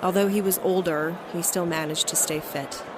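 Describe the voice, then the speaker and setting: Casting: actress as tough, stubborn female agent for audio drama, lowish voice, faint ambience behind her. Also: steady tone, moderate pace, noisy background, close to an everyday voice. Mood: somber narration. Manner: blunt, terse, stubborn. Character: defiant, battle-hardened young woman agent narrating her story. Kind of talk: audio drama dialogue and first-person narration.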